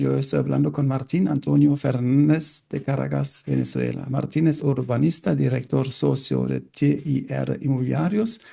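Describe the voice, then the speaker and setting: A man's voice: mid-range voice, gravelly, dark tone. A man hosting a podcast interview, hesitant and apologetic about his Spanish. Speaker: podcast host.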